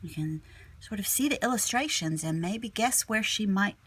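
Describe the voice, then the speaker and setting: A woman's voice: mid-range voice, lively intonation, normal speed, fairly bright tone, a little hiss or hum. A woman teacher reading a picture book aloud expressively, with chatty asides aimed at young children. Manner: addresses young listeners with simple questions and asides.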